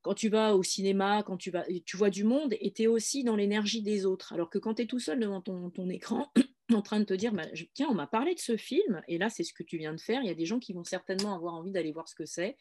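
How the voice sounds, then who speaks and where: A woman's voice: medium pitch, fast talker, a little mellow. A woman hosting a casual conversation, speaking in an encouraging, thoughtful way.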